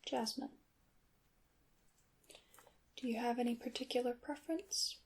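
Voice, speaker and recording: A woman performing a soft, breathy ASMR roleplay as a calm witch apothecary, often whispering and speaking gently and deliberately to the listener. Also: on the high side.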